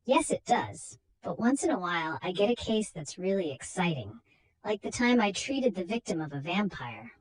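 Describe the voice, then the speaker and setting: An earnest male doctor acted by a woman narrator recounts things in a husky voice. He has a serious storytelling manner, as a character in a horror comic tale. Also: lowish voice, big pitch swings, moderate pace, low-quality recording. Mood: intrigued. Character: earnest male doctor recalling a vampire case.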